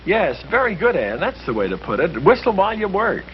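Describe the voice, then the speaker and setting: A man's voice: sits high, very animated, fairly quick, slight background noise. A middle-aged American man, a priest, giving an earnest, rhetorical and persuasive address. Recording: muffled, humming old film soundtrack.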